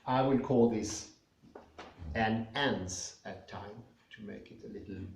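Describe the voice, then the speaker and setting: A man with a Swedish accent giving a spoken lecture, thoughtful and pausing often with fillers. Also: fairly low pitch, vocal fry, a little hiss or hum. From Sweden. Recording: echoey room, mic at a distance.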